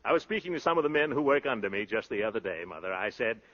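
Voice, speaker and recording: An actor playing a brash, boastful young suitor in a 1930s radio comedy, talking in pompous, florid slang. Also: male voice, fairly low pitch, big pitch swings, quick pace, a bit bright. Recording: dull, lo-fi 1930s radio recording.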